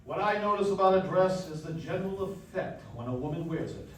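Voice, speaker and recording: A man performs as a polished 1940s radio host with a high-set voice. The delivery is formal, projected and genial, full of swooping inflection. It comes from a live stage, distant and very reverberant. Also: lively intonation, fairly quick, slight background noise. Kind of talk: 1940s radio acting, scripted announcing. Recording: echoey hall, distant mic, live stage.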